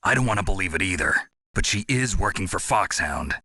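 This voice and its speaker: An actor playing a gruff, weary special-ops soldier in a video game, terse, deadpan and gravelly. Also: male voice, deep voice, brisk, no echo, studio quality, exaggerated voice. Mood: suspicious, stern. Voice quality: gravelly, husky. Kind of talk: dramatic video game voice acting.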